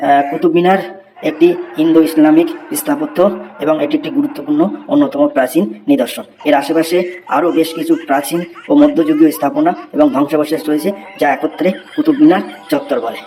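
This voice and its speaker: A man's voice: a little high, fairly even intonation, dark tone, slight background noise, lightly acted. A man voicing a schoolboy who recites a memorized essay in a cartoon. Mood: recitation. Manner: fast rote recitation.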